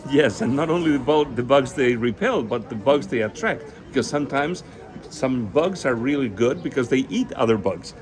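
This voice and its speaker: A man with a Spanish accent and a medium-pitched voice explains his work in a spontaneous interview. A crowd and outdoor urban sound are clearly heard behind him. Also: lively intonation, normal speed. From northern Spain. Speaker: interview guest, garden designer.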